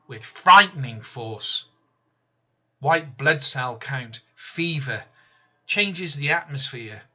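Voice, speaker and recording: A man reading his own poem slowly, measured, with sudden loud emphatic rises and soft drops. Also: mid-range voice. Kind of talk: slow spoken-word poetry reading. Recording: slightly dull lo-fi recording.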